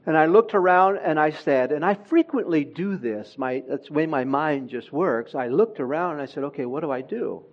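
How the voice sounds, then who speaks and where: A man who grew up in Honduras, preaching a sermon in a church with slow, emphatic delivery that swells louder on key words. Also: fairly high pitch, expressive, highs cut off.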